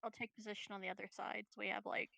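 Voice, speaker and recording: A woman's voice: mid-range voice, flat delivery, racing, gravelly, bright, forceful tone. A woman chatting casually as a player in a tabletop game, a little apologetic.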